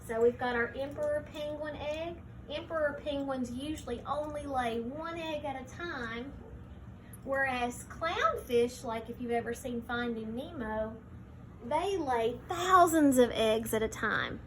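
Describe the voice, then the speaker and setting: A woman teacher reading a picture book aloud to young children, pausing to explain things simply and point them out. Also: high-pitched, a little hiss or hum.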